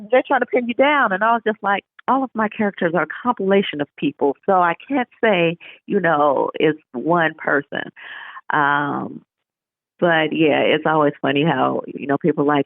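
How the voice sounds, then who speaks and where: A woman's voice: lowish voice, moderate pace, vocal fry, dry recording. Woman author on a phone-like line. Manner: animated, acts out remembered remarks. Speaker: author, interview guest. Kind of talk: casual interview chat. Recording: narrow phone-like line, radio-show sound.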